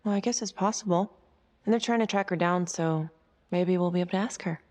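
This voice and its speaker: A woman playing a careful, supportive confidante to a detective in a game drama, measured and questioning. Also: lowish voice, a little mellow, long reverb tail, lightly acted. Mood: reassuring. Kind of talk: naturalistic dramatic game acting.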